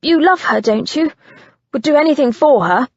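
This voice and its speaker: A woman voicing a vengeful, menacing young man who shouts threats in a storybook reading. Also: high-pitched, expressive, moderate pace, no echo, dull audio, pitched above the performer's own voice. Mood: taunting.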